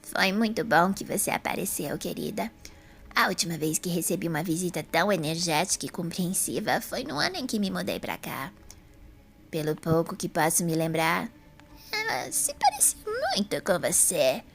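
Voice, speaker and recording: A woman playing a serene, frail old lady in an audio drama, with a hoarse, breathy voice and slow, genteel pauses. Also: on the low side, very animated. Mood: wistful, warm. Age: older.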